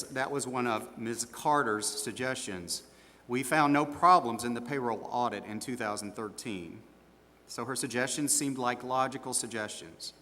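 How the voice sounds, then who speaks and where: A man's voice: medium pitch, bright, forceful tone. A man playing a defensive auditor at a hearing in an amateur stage dramatization, speaking formally and a little hesitantly. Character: defensive male auditor questioned at disciplinary hearing. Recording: echoey hall, long reverb.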